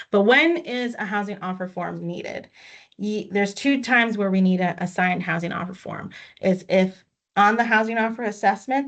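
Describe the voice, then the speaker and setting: A woman's voice: mid-range voice, fairly bright tone. A woman trainer patiently walking staff through a records system step by step on a video call. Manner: patient, methodical step-by-step explaining. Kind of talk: online training walkthrough. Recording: dull lo-fi audio.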